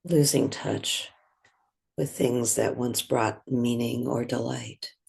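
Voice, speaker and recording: A man leading a guided meditation in a gentle, unhurried way, with long pauses between phrases. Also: mid-range voice, steady tone, vocal fry, breathy edge.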